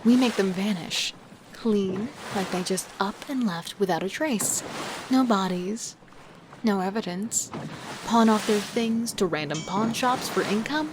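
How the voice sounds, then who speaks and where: A woman acts out a confident female serial killer in a horror audio drama. She is sly, scheming and seductive, relishing the thrill as she persuades. Clear boat-like ambient noise sits behind her voice. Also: mid-range voice, a little slow, close to an everyday voice. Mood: persuasive, calculating. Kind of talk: audio drama acting with first-person narration.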